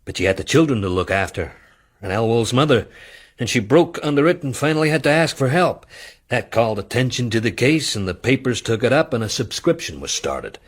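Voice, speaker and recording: An actor in a radio drama playing a blunt businessman, husky and folksy. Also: mid-range voice, animated, brisk, close to an everyday voice. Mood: matter-of-fact. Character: blunt American businessman bearing grim news.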